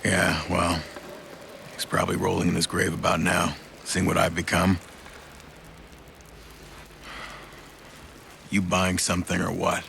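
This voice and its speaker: An actor playing a bitter, world-weary survivor, cynical and foul-mouthed, in a video game cutscene. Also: male voice, monotone, fairly bright tone, exaggerated voice. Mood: guarded, wry. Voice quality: very gravelly, fried. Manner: cynical, profane, halting. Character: bitter, world-weary male outrider's son, debt-ridden survivor. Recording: clear background rumble and ambience.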